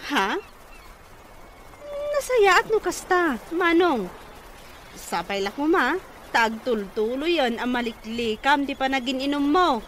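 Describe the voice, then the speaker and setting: A woman's voice: high voice, expressive, slow, pauses often, a bit bright, full character voice. A woman with a northern Philippine accent plays a polite, sweet young woman in radio comedy drama, in a coy manner. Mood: friendly, curious. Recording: faint background noise.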